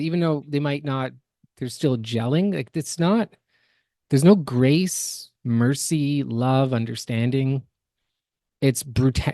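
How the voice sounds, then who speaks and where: A man's voice: medium pitch, darkish tone, no room sound, clean studio sound. A man co-hosting a podcast, giving analytical, opinionated reaction commentary with emphatic delivery. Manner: analytical, emphatic, builds word lists. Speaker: podcast co-host.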